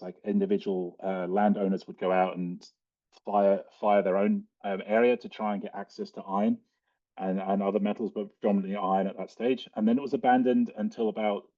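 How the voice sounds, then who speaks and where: A man with an Australian accent giving an informal slide presentation in a halting, hesitant way, with frequent ums. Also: on the low side, mellow. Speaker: guest speaker, cave and mine diver. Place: online video call. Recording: dull, lo-fi online call audio.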